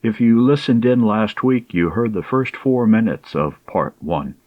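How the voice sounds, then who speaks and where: A man's voice: on the low side, expressive, unhurried, no echo, dull audio. A man introducing a radio programme as its host.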